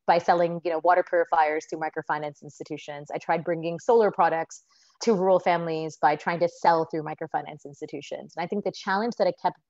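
American female founder, 30s, lower-pitched voice, dry and close, earnest and rambling. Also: fairly even intonation, fast, darkish tone, dry recording. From United States. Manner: earnest, with frequent fillers and restarts. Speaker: company founder and CEO, interview guest. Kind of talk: conversational interview answers. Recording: slightly dull, lo-fi sound.

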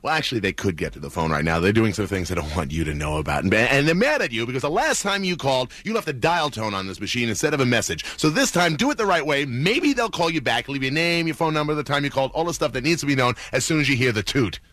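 A man's voice: mid-range voice, big pitch swings, fairly bright tone, dry recording. A man recording a comic answering-machine message in jokey announcer style. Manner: fast, jokey announcer patter. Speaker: radio DJ.

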